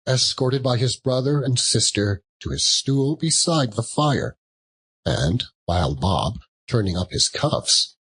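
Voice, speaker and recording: A man's voice: mid-range voice, animated, takes it slowly, fairly bright tone, slightly rough. A man narrating a classic story as a dramatic audiobook reading.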